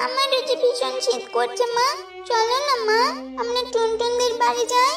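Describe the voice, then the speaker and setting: A narrator puts on a small child bird for a cartoon fable. The voice is squeaky high, whining and coaxing like a nagging little one. Music plays behind the echoey sound. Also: little pitch movement, normal speed, creaky, far from the mic. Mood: whiny, pleading.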